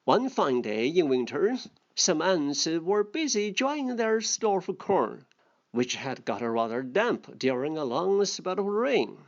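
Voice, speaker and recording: A narrator reading a children's fable and explaining English words in a deliberate, instructional manner. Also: medium pitch, sing-song. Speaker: storyteller and English vocabulary teacher. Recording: dull, muffled lo-fi sound.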